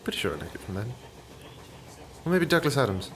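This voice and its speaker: An affable, bumbling passenger in a radio play. His mid-pitched voice moves up and down animatedly as he rambles, hesitant and polite, over faint background noise. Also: very animated, fast talker, a bit bright. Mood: wry. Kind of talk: naturalistic radio drama dialogue.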